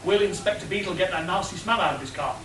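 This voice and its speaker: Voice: medium pitch, fast, close to an everyday voice. A performer playing the melodramatic narrator of a comic radio serial. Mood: suspenseful. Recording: echoey room, distant mic, noisy.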